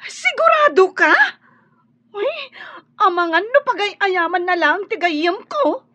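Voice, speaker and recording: A nosy, gossipy young Ilocano woman in a radio drama. Her voice is extremely high and piercingly bright, with animated, swooping intonation. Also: a little slow, exaggerated voice. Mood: surprised, indignant. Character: nosy, excitable young female friend, gossipy.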